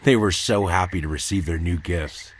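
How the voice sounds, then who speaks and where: Low-voiced man narrating a children's story. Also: normal speed, slight background noise.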